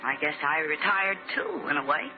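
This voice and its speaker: An old, dull-sounding radio recording with music running clearly behind. An actress plays an American chorus girl, a young-hearted woman who speaks earnestly and coyly, tender yet holding something back, in classic radio drama style. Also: sits low, a little fast, lightly acted. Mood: coy, friendly. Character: guarded, tender chorus-girl dancer in love.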